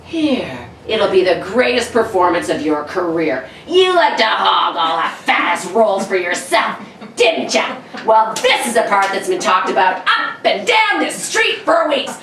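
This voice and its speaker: A woman's voice: medium pitch, very animated, measured pace, fairly bright tone. A woman acting a vengeful, slyly genial male stage actor in an old-time radio suspense play, silky and theatrical. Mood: gloating triumph. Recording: roomy echo, distant mic, faint noise.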